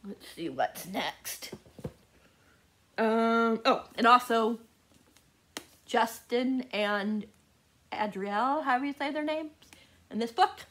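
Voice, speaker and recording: A woman's voice: a little high, animated, takes it slowly, pauses often, a bit bright. A woman chatting casually to viewers as a book vlogger, gushing and often repeating words for emphasis.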